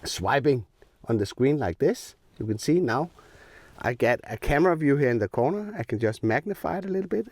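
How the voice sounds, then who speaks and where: A man explaining new drone features step by step as he demos them, his voice a little rough because he is sick. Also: medium pitch, darkish tone, no echo. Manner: plain step-by-step explaining with filler ums. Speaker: drone tech reviewer. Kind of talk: hands-on product demo. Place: outdoors in the cold.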